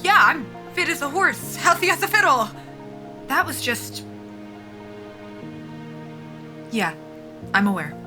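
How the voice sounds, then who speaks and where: A woman's voice: high voice, very animated, moderate pace, over music. A woman acting a nervy, foul-mouthed young soldier in a sci-fi audio drama, wry and defiant in a casual, joking way. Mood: resigned, defensive. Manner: sardonic, casual, sweary, joking under nerves.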